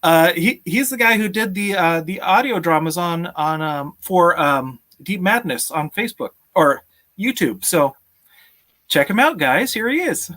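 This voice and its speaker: A man's voice: high voice, expressive, measured pace, fairly bright tone. A man in his thirties hosting a casual live stream from home in a jovial, chatty way. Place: small room at home, video call.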